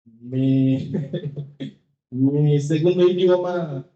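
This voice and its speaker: A Haitian singer in his fifties, whose first language is Haitian Creole, answers audience questions slowly and carefully, searching for words in a second language. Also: a little high, warm tone. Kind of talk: live public Q&A. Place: cultural venue hall with an audience. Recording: echoey, dull hall sound.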